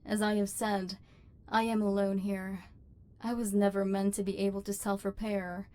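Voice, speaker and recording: A woman's voice: mid-range voice, little pitch movement, normal speed, a little mellow, close to an everyday voice. A woman voicing an embittered, lonely robot overlord in an ASMR roleplay, with formal, precise diction and hurt tenderness underneath. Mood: cold, guarded. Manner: formal, precise, stilted diction with wounded feeling. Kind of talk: scripted ASMR roleplay acting.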